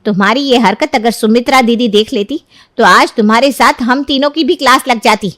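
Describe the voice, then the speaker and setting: A woman voicing a brisk younger mother-in-law who scolds but softens easily. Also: on the high side, no echo, clean studio sound, natural voice. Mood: scolding.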